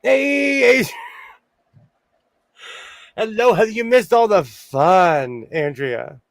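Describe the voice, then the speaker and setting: A man in his forties hosting a casual sewing livestream, hoarse and losing his voice, joking and laughing often as he reads the chat. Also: high voice, extremely slow, no echo, clean studio sound.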